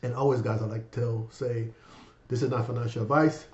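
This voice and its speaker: A man in his fifties with a cold and a raspy voice, hosting a casual finance vlog and rambling to viewers with lots of fillers. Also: mid-range voice, fairly even intonation, fairly quick, a little mellow. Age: 50s. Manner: rambling, many fillers, addresses viewers as guys. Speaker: finance channel host. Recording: echoey room, dull lo-fi sound.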